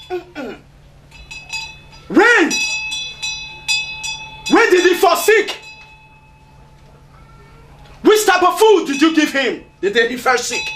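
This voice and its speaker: A woman voicing a fierce male prophet who chants and shouts accusations, in a noisy amateur recording. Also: sits high, full character voice. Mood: commanding.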